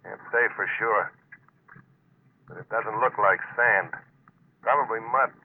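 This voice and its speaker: An actor playing a cool, laconic deep-sea diver in an old American radio drama, dry and matter-of-fact with an American accent. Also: male voice, a little slow. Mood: terse, satisfied. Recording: noisy, narrow-band old radio recording.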